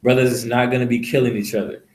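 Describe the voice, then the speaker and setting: A man's voice: medium pitch, level tone, fast talker, a little mellow. Blunt rambling man in an echoey room. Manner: blunt, rambling, profane, repeats "you know". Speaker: street preacher leading a teaching session. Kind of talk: casual preaching to a small group.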